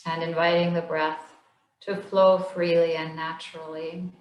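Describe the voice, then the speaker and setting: A woman slowly leading a guided body scan meditation in a gentle, soothing voice with long pauses. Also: sits low, little pitch movement, reverberant, low-quality recording. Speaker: meditation instructor.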